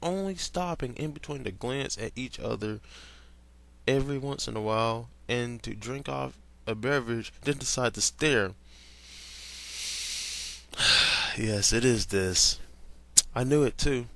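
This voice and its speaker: A young man reading a story aloud at an unhurried, slow pace in a gravelly, creaky voice. He trips over words now and then and stops to react with comments and laughs, all in an easygoing, casual manner. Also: mid-range voice, pushed and bright. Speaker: fan fiction reader.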